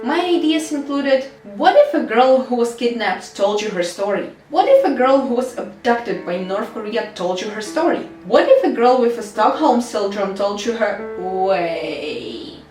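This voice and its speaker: A young woman with a slightly high voice narrates a creator's devlog in a wry, self-deprecating way. Her delivery shifts between scripted storytelling and casual asides, with theatrical emphasis. The room is very echoey and she sounds a little far from the mic. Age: young adult. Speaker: game developer and video creator. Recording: echoey room, distant mic, music underneath.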